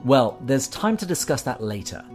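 A man voicing a fussy, evasive male ghost who pleads and makes excuses in a higher, strained voice. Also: sing-song, normal speed, faint music behind, close to an everyday voice. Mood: pleading. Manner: whiny, pleading, self-excusing.

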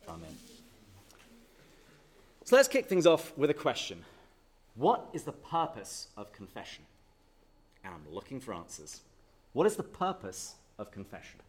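A man's voice: mid-range voice, wildly swooping pitch, a little slow, pauses often, slightly rough. Joking English man quizzing a crowd. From England. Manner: jokey and chatty, quizzes the audience, then leads prayer solemnly. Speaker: speaker at a parish talk. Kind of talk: humorous church talk to a live audience. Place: hall with an audience.

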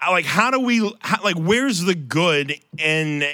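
American guy podcast cohost, bright highish voice, sarcastic and self-deprecating, rambles off on asides, dry close mic. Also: lively intonation, unhurried, dry recording. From Ohio, United States. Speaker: podcast co-host. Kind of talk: casual live podcast banter. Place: on stage before a live audience. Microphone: close stage microphone.

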